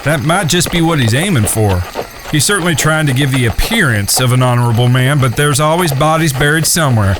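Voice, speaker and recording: A shrewd, wry gunslinger from a Western audio drama, voiced by a man. His folksy speech moves widely in pitch. Crickets and clear ambient noise fill the space behind him. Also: lively intonation, fairly quick, close to an everyday voice. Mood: wry, suspicious. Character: wry, shrewd middle brother, Old West gunslinger. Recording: noisy track with cricket-filled outdoor ambience.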